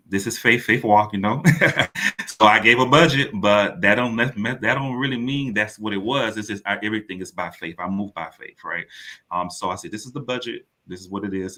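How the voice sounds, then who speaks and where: A man's voice: sits low, bright, forceful tone. A man giving conversational, rambling answers as an interview guest, often restating himself. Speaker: interview guest, playwright and producer.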